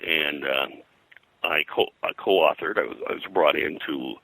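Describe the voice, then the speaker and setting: An older American man reminiscing as an interview guest, in a halting, rambling way. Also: rumbling low, gravelly, pushed and bright, no echo, low-quality recording. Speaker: guest, comics colorist and publisher.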